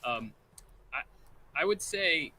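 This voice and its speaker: A man band member answering thoughtfully, in a measured way. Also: on the high side, animated, sharp tone, slight background noise.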